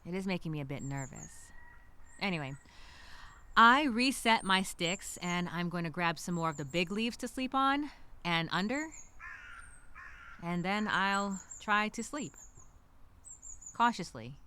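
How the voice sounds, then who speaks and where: A woman's voice: on the low side, animated, bright, forceful tone, smooth. A woman voicing an anxious, wry castaway speaking her survival journal in a theatrical, conversational audio story. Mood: nervous, cautious. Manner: conversational, theatrical, halting, with sighs. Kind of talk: solo dramatic monologue, audio journal storytelling.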